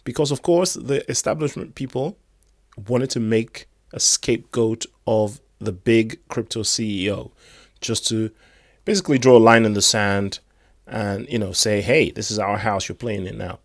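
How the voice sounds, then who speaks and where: A hoarse man giving rambling, casual commentary on crypto news. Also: on the low side, moderate pace, dry recording, studio quality. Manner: rambling, chatty asides.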